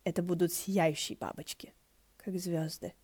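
A woman's voice: fairly low pitch, steady tone, unhurried, darkish tone, slightly rough, no room sound. Woman voicing a snappish, sly male ghost lord. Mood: teasing, proud. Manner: sarcastic, barking, teasing.

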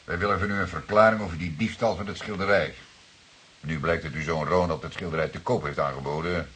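A composed radio-play detective with a very deep, slightly rough voice. He is courteous and formal. He questions deliberately and does not let go. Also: steady tone, a bit bright. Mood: insistent. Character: composed, probing male police detective, courteous but insistent. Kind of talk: Dutch radio play acting.

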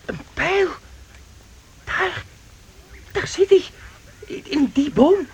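Cheeky young sidekick, eager and questioning. Radio drama, high swooping voice, faint noise behind. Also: male voice, animated, drawn-out words, creaky, full character voice. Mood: startled. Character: lively, curious, cheeky young male companion. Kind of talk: radio play acting.

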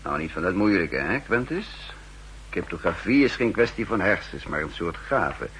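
An actor playing an older, wry and condescending spy chief who also narrates in the first person, in 1960s radio drama style. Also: male voice, deep voice, big pitch swings, normal speed, fairly bright tone. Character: aging spy chief and cryptographer, wry, secretly scheming. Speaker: narrator and lead character. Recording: humming, noisy 1960s radio recording.

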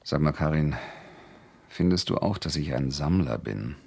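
A man playing a stubborn, evasive crime writer in a radio drama, speaking haltingly and often asking questions. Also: rumbling low, expressive, gravelly, a little mellow, breathy edge, full character voice. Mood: evasive, guarded.

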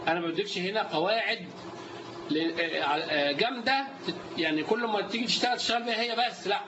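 A man with an Egyptian Arabic accent teaching a live class. He keeps quizzing his students as he goes. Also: high voice. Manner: lively, quizzes the students often. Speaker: instructor. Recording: dull lo-fi recording with steady noise.

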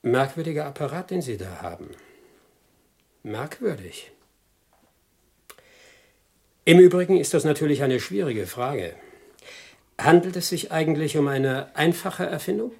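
An actor playing a zealous Soviet squadron commander in a German radio drama, emphatic and politely insinuating. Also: male voice, a little high, big pitch swings, normal speed, vocal fry, dark tone, recorded from a distance. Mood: suspicious, menacing. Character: suave, menacing Soviet fighter squadron commander, zealous loyalist.

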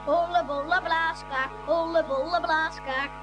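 An actress playing a cheeky young schoolboy in a radio play, jeering and taunting. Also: female voice, very high-pitched, fairly even intonation, measured pace, muffled recording, over music.

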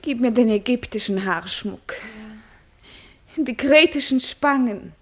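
An actress in a German radio play voicing a vain, pampered dancer with an affected, commanding, gushing manner. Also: female voice, a little high, lively intonation, slow pace.

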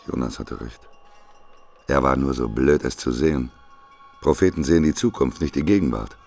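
A grumbling prophet in a radio play, with a rock-bottom, creaky voice. He is sardonic and defiant, sounding rough and husky as he complains. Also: normal speed, darkish tone, soft background music, heavily acted. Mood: irritated, defiant. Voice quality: very deep, gravelly, rough voice. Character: grumbling, defiant male prophet trapped in fire.

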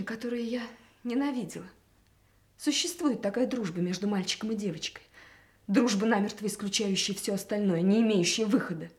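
An intense young woman in love played by an actress in a radio drama. Her medium-pitched voice is earnest and wounded, moving in halting, dramatic phrases. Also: a little mellow, lightly acted. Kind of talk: radio play acting.

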